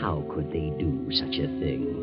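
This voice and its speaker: A deep male voice over loud dramatic music on a dull old radio recording. a sinister storyteller who taunts and gloats with theatrical menace, in forties mystery style. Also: fairly even intonation, measured pace. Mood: mocking, ominous. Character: sinister, mocking, gloating omniscient mystery narrator. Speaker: narrator.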